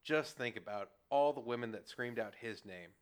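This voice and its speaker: A man's voice: medium pitch, moderate pace, clean tone. A man joining casual podcast banter, cracking jokes and laughing. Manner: joking, laughing. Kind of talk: casual banter among friends.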